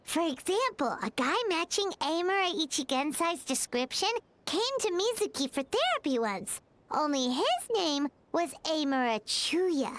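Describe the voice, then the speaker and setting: A woman voicing a tiny, excitable girl companion in a video game, chirpy and quick to blurt out reactions. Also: sits high, dry recording, heavily acted.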